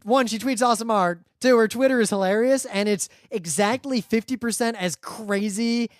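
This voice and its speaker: A man's voice: high voice, normal speed, no echo. Casual male podcast co-host, dry sound. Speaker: podcast co-host reviewing a webcomic. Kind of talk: casual chat.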